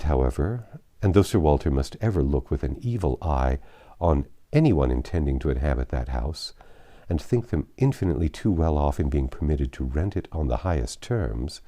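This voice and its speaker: A man reading a classic novel aloud as an audiobook narrator, in an expressive scripted style. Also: rumbling low.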